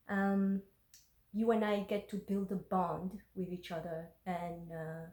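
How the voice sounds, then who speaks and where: A woman whose first language is French speaks slowly and hesitantly, with many ums and uhs. She talks into her phone in a roomy space, and her voice carries an echo. She sounds like someone sharing a personal vlog. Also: mid-range voice, warm tone. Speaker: intuitive energy worker. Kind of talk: personal vlog and reading her journal aloud.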